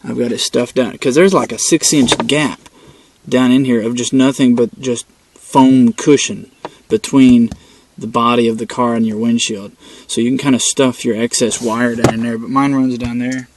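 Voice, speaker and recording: A man's voice: mid-range voice, steady tone, normal speed, background noise. A man giving a casual, rambling walkthrough from inside his car, filming with a handheld camera and talking with lots of "uh" fillers. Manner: rambling, many "uh" fillers, plain-spoken. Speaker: video maker showing his car setup.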